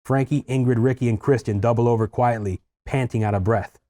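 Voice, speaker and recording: A man's voice: mid-range voice, steady tone, a little fast, mellow. A man reading stage directions aloud as narrator at a screenplay table read.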